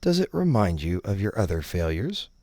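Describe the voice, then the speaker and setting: A man narrating a scripted parody guided meditation, giving instructions in a deadpan, condescending manner. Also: low voice, moderate pace, no echo, clean studio sound.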